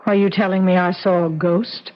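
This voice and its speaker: An actress playing a calm, puzzled middle-aged mother in an old-time radio mystery drama. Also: lowish voice, normal speed, natural voice. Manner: motherly, composed, questioning. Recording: dull, noisy vintage radio broadcast.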